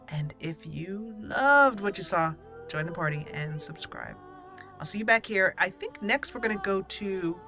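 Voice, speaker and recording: A woman's voice: lowish voice, a bit bright. Chatty woman commenting on her game. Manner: chatty and friendly, laughs easily. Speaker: gaming channel host. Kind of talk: roleplay gameplay commentary. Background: game music.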